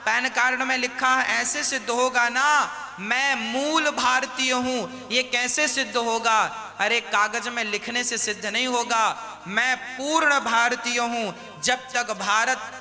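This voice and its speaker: A man's voice: extremely high pitch, sharp tone, in a large hall. A man preaching a devotional sermon, scolding and questioning his listeners with rhetorical questions.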